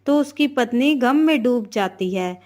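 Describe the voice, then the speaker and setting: A woman's voice: a little high, lively intonation, measured pace, a little mellow. A woman narrating a scripted moral tale for a cartoon as the storyteller.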